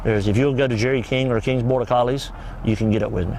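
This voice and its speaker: A man's voice: mid-range voice, fairly even intonation, fast talker, a little mellow, a little hiss or hum, rough recording. A man from North Carolina with a Southern American accent, a horse trainer answering interview questions politely and plainly outdoors. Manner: polite, plainspoken, many "yes, sir"s. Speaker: horse trainer and dog breeder guest. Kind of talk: casual interview.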